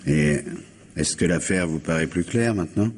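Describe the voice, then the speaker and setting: A curious junior detective in an old-style radio mystery. He speaks in a low, husky voice. He puts questions to his boss as a loyal assistant would. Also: moderate pace. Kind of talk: 1950s French radio mystery acting.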